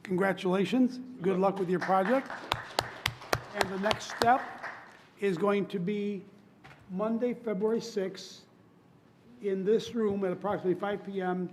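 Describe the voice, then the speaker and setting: A man chairing a public commission meeting, hoarse from laryngitis, running votes in a steady, polite, procedural way. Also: sits high, unhurried, long pauses, noisy background. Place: council chamber.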